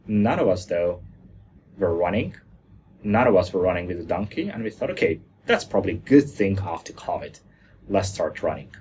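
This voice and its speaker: A man's voice: deep voice, big pitch swings, normal speed, a little mellow, a little hiss or hum. A man from Germany giving a lighthearted presentation talk, joking along and stumbling over fillers now and then. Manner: jokey, lighthearted, with hesitant fillers.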